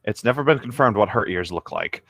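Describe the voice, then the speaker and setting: A man's voice: on the low side, expressive, fairly quick, vocal fry, fairly bright tone, dry recording. A man reading a story aloud as a co-host, casually dropping in exasperated, joking asides. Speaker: co-host of a comedic fan fiction reading show.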